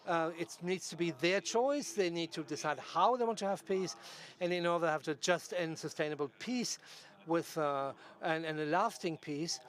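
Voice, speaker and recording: A man with a slightly high voice interprets a debate live. He delivers the sentences piece by piece, in a halting way.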